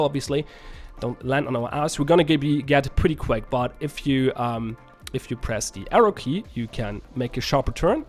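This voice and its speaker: A young man, a little sick, casually commenting on a game as he plays, rambling and correcting himself often. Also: fairly high pitch. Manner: rambling, self-correcting, laughs at own plays. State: a little sick, voice slightly rough. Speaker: game reviewer.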